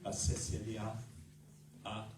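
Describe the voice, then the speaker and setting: A pastor from Brazil with a Brazilian accent preaching a sermon in his fourth language, in slow, measured phrases with pauses between them. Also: on the low side, completely flat delivery, reverberant, distant mic, heavy background noise. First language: Portuguese.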